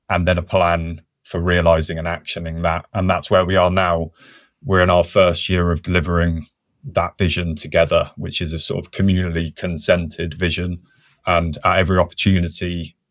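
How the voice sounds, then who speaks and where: A man's voice: sits low, no room sound, studio quality. A man speaking as a podcast guest, reflective and thinking aloud in a casual interview. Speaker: podcast guest, conservation worker.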